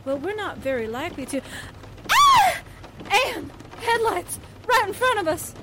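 A woman's voice: very high voice, wildly swooping pitch, a little slow, full character voice. An actress playing a brassy, slangy, wisecracking newspaperwoman in a 1940s-style radio drama. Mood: alarmed. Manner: wry, slangy quips. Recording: clear rain and car noise behind. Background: rain and vehicle sounds.

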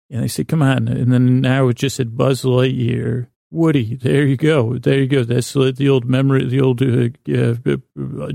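American guy doing a sleepy rambling podcast, creaky voice, pretty flat, keeps stammering and restarting. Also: medium pitch, no room sound, clean studio sound. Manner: meandering, halting, with stammered false starts and self-interruptions. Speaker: podcast host. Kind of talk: rambling bedtime monologue to help listeners sleep.

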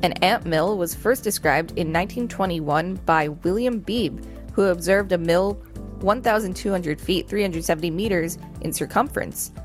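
A woman with a slightly low voice hosts a podcast, moving between reading reference text and casual remarks. Her pace is ordinary, and soft music plays behind her. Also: normal speed. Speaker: podcast co-host. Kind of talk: reading an encyclopedia article aloud with casual commentary.

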